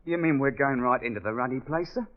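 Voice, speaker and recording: An actor playing a blunt, incredulous army corporal with a working-class British accent in a 1950s radio drama. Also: male voice, medium pitch, fast, natural voice. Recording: tinny, narrow 1950s radio recording.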